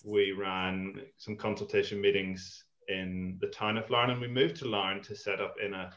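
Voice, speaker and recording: A man's voice: lowish voice, little pitch movement, moderate pace, a bit bright. A man in his thirties, a community farm founder who grew up in Ireland and Malawi, talking in a thoughtful, rambling way as a guest on a video call. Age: 30s. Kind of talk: casual interview chat over video call. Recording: echoey, dull lo-fi call audio.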